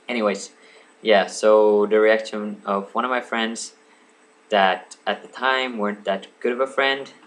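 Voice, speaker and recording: A man's voice: fairly low pitch, gravelly, fairly bright tone, low-quality recording. A young man in his late teens, a native Swedish speaker, rambling casually to a webcam at home, full of ums, asides and apologies. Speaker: vlogger. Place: home room lit by a lamp.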